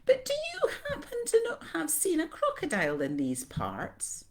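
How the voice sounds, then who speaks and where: A woman voicing a polite, naive young elephant child in an animated storybook reading, earnest and childlike. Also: high voice, moderate pace, slight background noise, pitched above the performer's own voice, full character voice. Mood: polite, curious.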